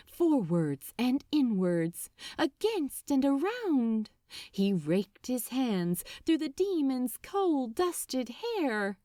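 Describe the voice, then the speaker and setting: A woman reading fiction as an audiobook narrator, expressive and dramatic, with deliberate phrasing. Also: fairly high pitch, no echo, studio quality.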